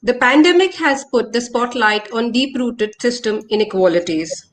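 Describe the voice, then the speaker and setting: A woman's voice: a little high, lively intonation, normal speed, slight background noise. A woman moderating a panel discussion, reading a formal introduction and calling on speakers.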